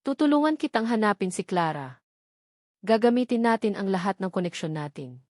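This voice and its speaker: A woman's voice: mid-range voice, animated, normal speed, darkish tone, no room sound, clean studio sound, close to an everyday voice. A woman voicing a sly male cousin who poses as a concerned confidant in a romance story.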